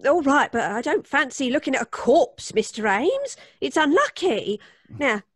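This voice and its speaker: An actress playing a deferential, superstitious house cleaner with a folksy manner, in old-time radio style. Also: female voice, high-pitched, very animated, a little slow, a bit bright, dry recording, clean studio sound, full character voice. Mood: wary, humouring.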